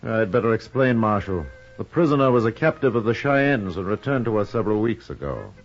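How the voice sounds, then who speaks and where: A fairly deep-voiced cavalry colonel in a vintage radio western. He is formal, polite and measured. The sound is dull and lo-fi. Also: expressive. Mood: grave, explanatory. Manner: polite, measured, matter-of-fact. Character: courteous, formal male U.S. army colonel at a frontier fort. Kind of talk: 1950s radio western acting. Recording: muffled vintage radio recording.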